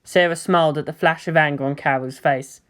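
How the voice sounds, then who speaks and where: A woman's voice: deep voice, fairly even intonation, a little fast. A woman narrating scripted fiction in a dramatic audiobook style.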